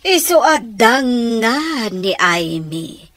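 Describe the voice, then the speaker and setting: A woman's voice: fairly high pitch, very animated, very slow, a bit bright, no echo, heavily acted. A woman playing a scheming, manipulative middle-aged mother in a radio drama, drawling insinuatingly with an Ilocano accent. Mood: smug, scheming.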